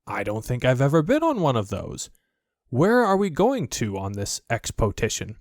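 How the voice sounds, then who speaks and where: A man's voice: medium pitch, huge pitch swings, moderate pace, no echo, clean studio sound. A man voicing a naive, good-natured toy bear in a storybook, speaking in an eager, wondering way. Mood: eager, puzzled. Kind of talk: storybook character voice.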